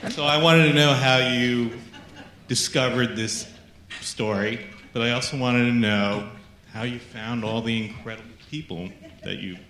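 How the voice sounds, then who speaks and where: A man in the audience asks the filmmakers questions, friendly and chuckling. His voice is mid-pitched and plain. An echoey hall with a faint hiss carries him. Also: measured pace, sharp tone. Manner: friendly, laughing. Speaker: audience member.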